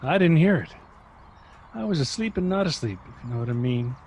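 A man playing a stubborn, gruff older husband who blusters and dismisses, in a radio drama. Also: a little high, animated, fairly quick, darkish tone, a little hiss or hum. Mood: uneasy.

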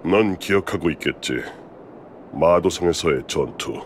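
A man playing a stern, middle-aged former imperial legion commander in a game cutscene, speaking in a grave, formal, measured way. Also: low-pitched, a little hiss or hum. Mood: solemn. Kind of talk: scripted game cutscene acting.

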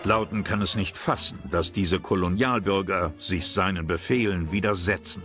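Low-voiced male documentary narrator. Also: moderate pace. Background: background music.

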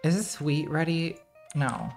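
Soft-voiced woman streamer chatting. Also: low voice, unhurried, soft background music. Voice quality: very soft, quiet voice. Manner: chatty, self-deprecating, reads chat and laughs. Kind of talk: casual live-stream chat while playing a game. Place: home streaming room, indoors.

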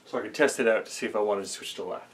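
A man's voice: fairly low pitch, rapid-fire. A young man musing casually to camera, rambling with lots of fillers and self-deprecating asides. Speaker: archery vlogger. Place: small basement archery range, indoors. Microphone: camera mic at a few meters while he moves and shoots. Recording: distant camera mic with faint noise.

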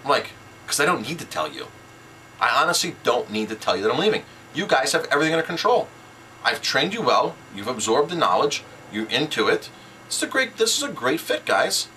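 A man's voice: mid-range voice, very animated, fairly quick, sharp tone, a little hiss or hum, rough recording. A middle-aged man giving informal, instructional business advice as a restaurant owner, making his points emphatically in short, repeated sentences. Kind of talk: informal instructional talk to camera.

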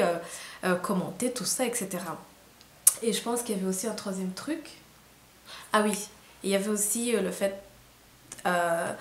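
Tired hesitant young woman. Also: mid-range voice. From Rwanda. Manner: hesitant, many fillers and restarts, soft laughs. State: tired, late at night. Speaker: vlogger. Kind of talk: casual reflective monologue to camera. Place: small room indoors. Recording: roomy echo, faint hiss.

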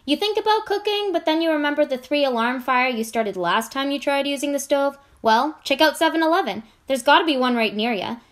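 A woman's voice: high-pitched, brisk, few pauses, fairly bright tone. A woman doing scripted advertising reads as a voice-over artist, with a polished, conversational sales delivery.

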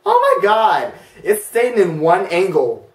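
A teenage boy reacting to his own old videos in his bedroom, embarrassed and excitable, jumping between mutters and yelps. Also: sits high, slow pace, echoey room, distant mic, a little hiss or hum, rough recording. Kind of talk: casual reaction commentary. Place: bedroom, indoors, hugging a big stuffed bear.

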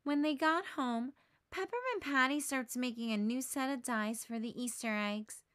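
A woman narrating a children's storybook aloud in a measured, expressive way. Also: sits high, dry recording, studio quality.

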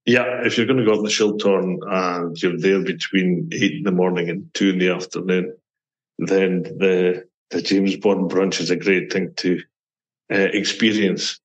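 A middle-aged man from Scotland with a Scottish accent, a guest on a travel podcast, calmly explaining visitor details and listing them one by one. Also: sits low, a little slow, dull audio. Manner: explanatory, lists details steadily. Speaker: guest from a mountain attraction. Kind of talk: podcast interview.

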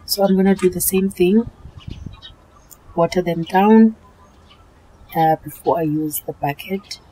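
A South African woman narrating her cleaning vlog in a relaxed, chatty voice-over, often repeating herself. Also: lowish voice, unhurried, slight background noise, rough recording. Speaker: home cleaning vlogger.

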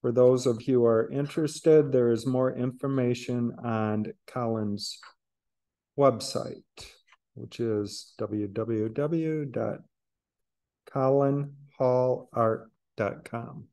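A man chairing a church service, slowly reading an introduction of the guest speaker at a lectern. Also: medium pitch, fairly even intonation, mellow. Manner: slow, measured reading. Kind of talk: read introduction. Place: church hall, indoors. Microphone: lectern mic.

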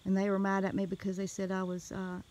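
A woman with a Southern US accent telling a story casually in a folksy, animated way, recorded on a handheld phone outdoors. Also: mid-range voice, fast, a little hiss or hum. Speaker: homestead vlogger. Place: outdoors in a wooded rural yard.

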